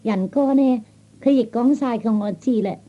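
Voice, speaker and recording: A man's voice: extremely high pitch, moderate pace. A man voicing a feisty, haughty young woman with a Cantonese accent, in old radio comedy style. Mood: scolding. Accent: Cantonese, Hong Kong or Guangdong. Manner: sassy, scolding, self-assured. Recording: dull old 1960s comedy record.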